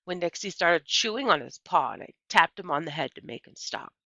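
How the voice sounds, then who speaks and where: A woman's voice: on the low side, animated, fairly quick, bright, forceful tone, no room sound. A woman narrating a children's book expressively in a dry, slightly dull home recording. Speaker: story reader.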